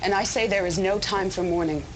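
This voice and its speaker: A woman's voice: medium pitch, a little fast, noisy background, lightly acted. An actress playing a stern female military commander who speaks in a firm, commanding way. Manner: firm, commanding speech-giving. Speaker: commander and new leader.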